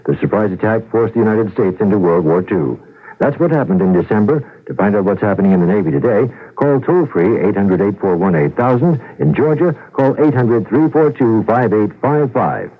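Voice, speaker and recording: A man's voice: lowish voice, animated, moderate pace, narrow, tinny audio. A man reading a scripted, factual radio announcement in the formal style of an old broadcast spot.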